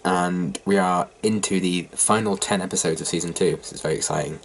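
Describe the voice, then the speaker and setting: A young English man hosting a reaction video, nasal and hoarse from a heavy cold, rambling casually with lots of ums. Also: low-pitched, brisk. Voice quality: hoarse from a sore throat. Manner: rambling, lots of ums, mildly flat delivery. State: nasal, blocked-up head cold. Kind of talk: casual chat to camera.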